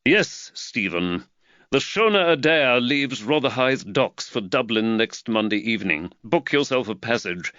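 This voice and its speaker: A man voicing an earnest middle-aged London magistrate who lectures with energy, higher and louder than his own voice. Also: a little slow, no echo, highs cut off. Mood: animated. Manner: lively, preachy, warms to his theme.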